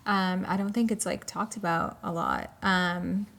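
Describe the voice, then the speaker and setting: A woman's voice: fairly low pitch, steady tone, unhurried, creaky, a bit bright. A woman from Iraq who grew up in England and Canada and whose first language is Arabic, chatting casually as a podcast guest. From born in Iraq, grew up in England and Canada. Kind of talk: casual chat.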